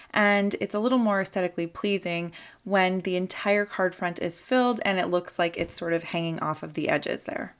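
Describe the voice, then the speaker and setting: Woman with a sore throat explaining crafts. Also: mid-range voice. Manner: friendly step-by-step explaining. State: slightly sick with a sore throat. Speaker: papercraft tutorial host. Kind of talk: instructional voice-over for a crafting video.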